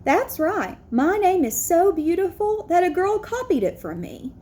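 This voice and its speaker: A woman voicing a proud, earnest schoolboy in an expressive picture-book read-aloud. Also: very high-pitched, voice pushed up, full character voice. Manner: expressive, deliberate storytelling. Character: proud, earnest young schoolboy narrating his story.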